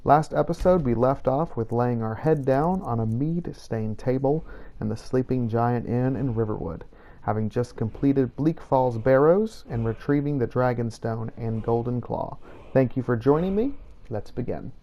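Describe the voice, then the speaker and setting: Male narrator, gravelly and dark-toned, solemn journal reading for a fantasy game playthrough. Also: mid-range voice, normal speed, lo-fi sound. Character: weary, self-doubting Redguard vampire hunter reading his journal. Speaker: host of a role-play game playthrough. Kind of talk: scripted in-character journal narration.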